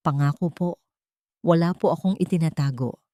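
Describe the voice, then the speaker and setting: A woman's voice: low-pitched, normal speed, no echo, studio quality, natural voice. A woman voicing a humble, frightened young maid, speaking meekly and respectfully in an audio drama. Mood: pleading. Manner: meek, soft but firm, respectful.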